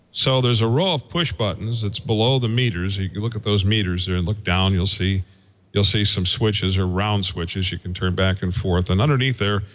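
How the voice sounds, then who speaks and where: A man giving an informal technical explanation as a guest engineer, in a halting, folksy way, thinking aloud as he goes. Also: fairly low pitch, fairly bright tone. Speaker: guest, radio station engineer.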